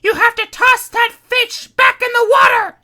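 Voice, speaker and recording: A woman's voice: moderate pace, bright, forceful tone. A woman voicing a frantic young woman with claws who shrieks warnings. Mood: screaming. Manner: shrill, screaming warnings.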